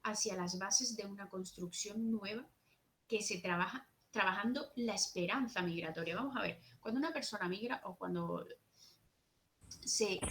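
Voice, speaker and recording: A woman's voice: mid-range voice, moderate pace, a bit bright, slight background noise. A young woman with a Jerez Andalusian accent giving an earnest, explanatory conference talk at a social work seminar, partly read from notes. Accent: Andalusian Spanish, from Jerez.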